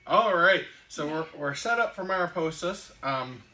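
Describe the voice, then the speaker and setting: A man co-hosting a casual podcast, explaining board game rules in a halting way with lots of ums and restarts. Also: on the high side, animated, pushed and bright. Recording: echoey room, distant mic.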